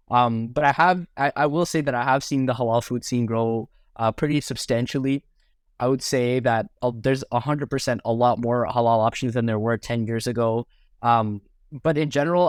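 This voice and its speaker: A young man in his twenties with a Canadian accent talks casually as an interview guest. His voice is smooth and clear at a medium pitch. The sound is dry, with almost no room echo. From Toronto area, Canada. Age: 20s. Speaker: podcast guest, food blogger.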